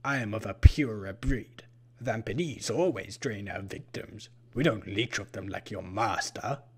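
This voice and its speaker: A menacing vampire-like creature from a horror tale, played by a man reading aloud. The voice is fairly low and rough with breath. He speaks in an odd, deliberate manner, haughty and sure of his own superiority. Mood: cold, disdainful. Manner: odd, deliberate way of talking with drawn-out phrases. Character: menacing, haughty purple-skinned vampire-like killer, male, unhurried.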